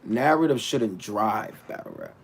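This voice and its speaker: A man's voice: on the low side, expressive, measured pace, vocal fry, husky. A man on a livestream who rants bluntly and animatedly, restarting his words as he answers his chat. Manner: talks back to his chat. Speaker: battle rapper streaming to his chat. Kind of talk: casual livestream rant.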